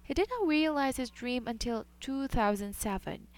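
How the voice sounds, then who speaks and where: A woman with a somewhat high voice reads a scripted news feature. She keeps a measured, even news delivery throughout. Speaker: news reporter.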